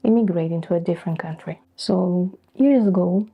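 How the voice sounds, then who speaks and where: A middle-aged woman from Brazil whose first language is Portuguese, speaking thoughtfully to camera in a soft, breathy way with pauses. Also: fairly low pitch, highs cut off. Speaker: vlogger. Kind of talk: reflective personal talk to camera.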